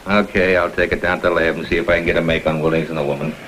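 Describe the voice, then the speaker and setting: An actor playing a blunt, sardonic, exasperated police detective in a vintage crime drama. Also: male voice, low voice, level tone, very fast, natural voice. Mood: grudging. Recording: noisy vintage drama soundtrack.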